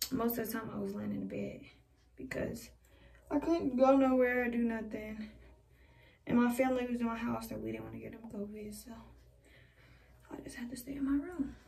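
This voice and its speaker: A woman's voice: fairly high pitch, vocal fry. Chatty young woman vlogging from a bedroom. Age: young adult. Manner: rambling, chatty, talks while styling her hair. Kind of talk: casual chat to camera. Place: small bedroom indoors. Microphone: camera a few feet away. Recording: voice a bit far from the mic.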